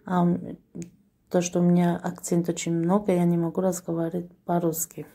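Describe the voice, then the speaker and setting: A woman's voice: on the low side, monotone, moderate pace, vocal fry, warm tone. A woman with a Tajik accent, from a Tajik-speaking background, casually showing clothes she sells on a phone video at home, hesitant with fillers. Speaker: clothing seller. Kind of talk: casual product showcase video. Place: small room at home. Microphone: handheld phone.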